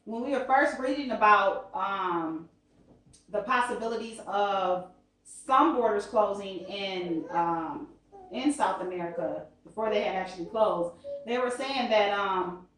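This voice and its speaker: A woman's voice: medium pitch, unhurried, a bit bright, heavy reverb, recorded from a distance, a little hiss or hum, low-quality recording. A woman co-telling a travel story in a conversational way, hesitating with many fillers. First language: English. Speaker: vlogger. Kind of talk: storytime chat.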